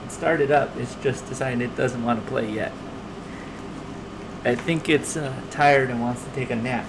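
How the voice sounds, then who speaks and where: A man hosting a livestream with casual, halting, unscripted chat. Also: mid-range voice, noisy background. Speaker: livestream host.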